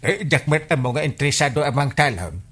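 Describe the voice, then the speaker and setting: An excitable Ilocano village man in a radio comedy. His bright, forceful voice swings widely in pitch as he argues and complains with plenty of exclamations. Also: brisk, breathy edge. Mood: explaining. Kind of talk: broad comedy radio drama acting.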